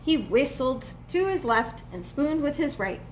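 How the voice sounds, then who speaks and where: A woman reading a children's storybook aloud as a preschool teacher would, with expressive, playful emphasis. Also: high-pitched, unhurried, slight background noise. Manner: dramatic, playful emphasis on repeated words.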